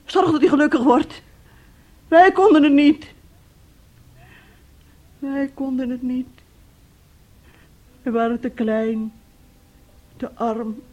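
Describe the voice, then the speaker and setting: A woman's voice: high-pitched, steady tone, mellow. An actress in a radio play voicing a despairing middle-aged mother, with a brooding, anguished way of speaking. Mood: desperate pleading. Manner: slow, brooding, anguished storytelling. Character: despairing mother, an aunt, anguished over her missing son. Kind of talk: Dutch-language radio play acting.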